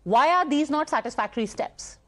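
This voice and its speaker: A woman news anchor with a high voice questions her guest on live TV. She presses firmly, rephrasing questions until she gets an answer, in a formal, articulate delivery. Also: expressive, brisk, fairly bright tone. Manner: persistent, pointed questioning.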